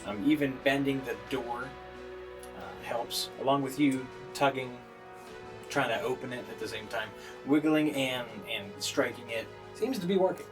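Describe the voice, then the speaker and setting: A man acts as game master, narrating a tabletop game in a casual, halting way with frequent pauses. His pitch is in the middle range for a man. Music plays clearly behind him. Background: background music.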